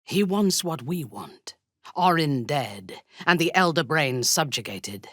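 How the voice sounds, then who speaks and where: A ruthless female warrior commander from a fantasy video game. Her voice is deep and breathy, recorded close and dry. She speaks with slow, haughty menace and dark amusement, in formal, cutting words. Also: animated, no echo, full character voice. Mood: cold disdain. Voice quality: husky, breathy. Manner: haughty, measured, formal diction with biting disdain. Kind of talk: video game character dialogue.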